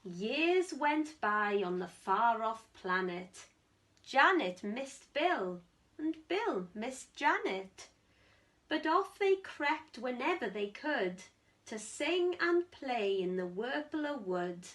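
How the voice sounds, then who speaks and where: A woman reading a rhyming picture book aloud to children in a theatrical, deliberate way with strong emphasis. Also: a little high, big pitch swings, a bit bright. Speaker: storytime reader, teacher.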